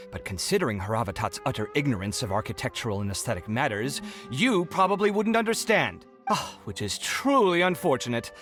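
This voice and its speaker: A man's voice: fairly high pitch, fairly bright tone. An actor playing a proud, easily exasperated young male architect in a video game, haughty and dramatic. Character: proud, irritable male architect, young adult, theatrically indignant. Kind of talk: English video game dialogue. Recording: music playing behind the dialogue.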